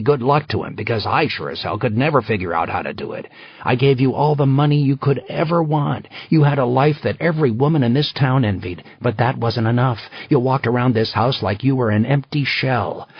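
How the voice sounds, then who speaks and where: A man's voice: medium pitch, husky, dry recording, highs cut off. A man voicing a cold, defensive, selfish husband who speaks curtly and bitterly. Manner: curt and bitter.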